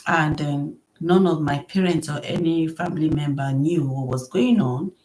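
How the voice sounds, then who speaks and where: Soft-spoken woman with a low voice, emotional storytelling, acts out what people said. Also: moderate pace, slight background noise, rough recording. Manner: earnest, emotional, acts out quoted speech. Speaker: podcast host. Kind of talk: personal storytelling.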